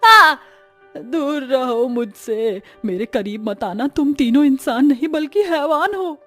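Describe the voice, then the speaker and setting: A woman voicing a fretful, complaining old mother-in-law in a dramatic, drawn-out way for a cartoon story. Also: high voice, expressive, fairly bright tone, faint music behind, pitched above the performer's own voice, full character voice. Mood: terrified. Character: frightened grumbling old mother-in-law in a horror folktale. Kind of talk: Hindi cartoon story voice acting.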